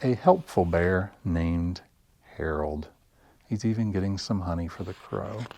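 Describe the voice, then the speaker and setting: Lively low-voiced man reading to children. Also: gravelly, a little hiss or hum. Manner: expressive, deliberate storytelling. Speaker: library story time reader. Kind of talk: animated picture-book narration for children.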